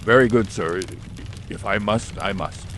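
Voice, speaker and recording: A man's voice: fairly low pitch, unhurried, a bit bright, slight background noise. A man voicing a frightened, secretive middle-aged butler who speaks in a deferential, pleading way. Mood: defiant. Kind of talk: audio drama acting.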